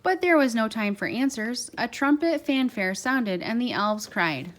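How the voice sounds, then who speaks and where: A woman with a fairly high voice reads a picture book aloud, as a parent would to a child. Her storytelling is expressive, with key words given extra stress. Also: unhurried.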